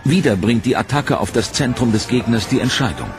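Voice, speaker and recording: A man giving scripted, measured documentary narration in a dramatic tone. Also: medium pitch, animated. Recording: narration over loud music.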